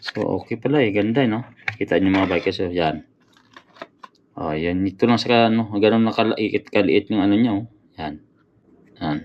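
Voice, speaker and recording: A man's voice: fairly low pitch, moderate pace, pauses often, muffled recording, slight background noise. A man giving casual unboxing commentary as a motorcycle vlogger, often hesitating to find words and addressing his viewers.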